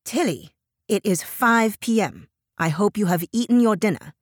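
A woman plays a fussy Chinese immigrant mother in her sixties for an audiobook. The voice is lifted in pitch and has a Chinese accent. The delivery is stern and scolding, in clipped, broken grammar, with a very dry studio sound. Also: lively intonation, normal speed, no room sound, close to an everyday voice. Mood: nagging. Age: 60s. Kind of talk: audiobook character voice.

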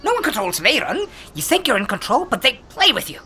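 A forceful, feral little male creature with a woman acting him out spits terse insults in broken grammar. The tone is bright and tense, very animated, over clear ambient noise in a fantasy audio drama. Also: on the high side, quick pace. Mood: grim warning. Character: small feral male horned creature, surly, blunt, combative.

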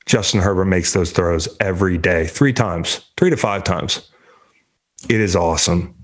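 Low-voiced man in profane sports chatter. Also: moderate pace. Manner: profane, rambling, joking. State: congested. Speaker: sports show host. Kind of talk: casual sports talk.

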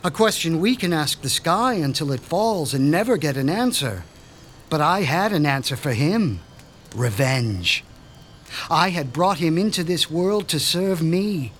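A menacing mad doctor. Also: male voice, a little high, expressive, a bit bright, slight background noise. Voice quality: measured, menacing, pompous. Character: man, a sinister mad scientist doctor seeking revenge. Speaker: Dr. Stein. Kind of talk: radio drama acting.

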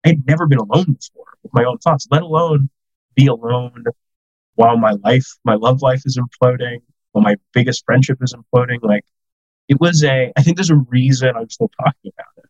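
A man's voice: medium pitch, brisk, a little mellow, no echo, muffled recording. A man in his thirties from the United States, a podcast guest telling self-deprecating stories in casual chat, full of "like" fillers.

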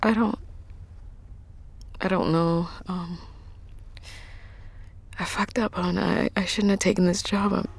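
An actress playing a troubled, guarded truck driver in an audio drama, talking haltingly and under strain. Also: female voice, fairly low pitch, fairly even intonation, gravelly, a little hiss or hum. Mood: distraught, regretful. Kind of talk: naturalistic audio drama acting.

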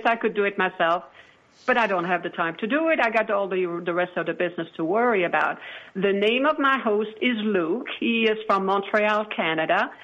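A woman with a mid-pitched voice talks as a podcast guest. She is conversational but assertive, stressing her points firmly. The audio is a little dull and lo-fi. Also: fairly bright tone. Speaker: podcast producer, interview guest. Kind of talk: conversational interview.

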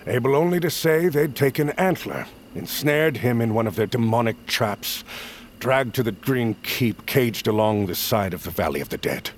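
A male actor playing a solemn, persuasive leader of smuggler outcasts in a fantasy audio drama, with measured, formal, archaic phrasing. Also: medium pitch, expressive, a little hiss or hum, close to an everyday voice. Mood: grave. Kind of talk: audio fiction acting.

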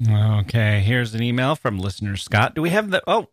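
A man's voice: fairly low pitch, big pitch swings, moderate pace, faint music behind. A man hosting a podcast, reading copy and mail in a casual, playfully mock-serious way. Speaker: podcast host. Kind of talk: casual, scripted ad reads and listener email reading.

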